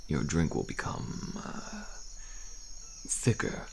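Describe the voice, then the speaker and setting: An actor playing a wary, frail old deserter in a dark-fantasy ASMR roleplay, speaking slowly in archaic phrasing and often dropping to a murmur. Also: male voice, wildly swooping pitch, slight background noise, heavily acted. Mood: patient, instructive. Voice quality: very deep, gravelly, breathy at times.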